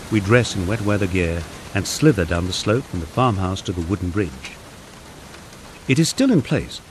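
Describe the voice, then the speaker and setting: A man narrating a first-person memoir from a script, with a husky voice and a wry storytelling manner. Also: fairly low pitch, darkish tone, slight background noise. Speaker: audiobook narrator.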